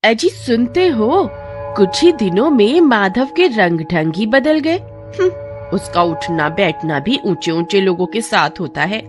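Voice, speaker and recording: Lady doing a nosy gossipy village wife, lively voice, music under it. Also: mid-range voice, measured pace, natural voice. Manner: coaxing, gossipy. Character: nosy village wife, prodding her husband. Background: background music.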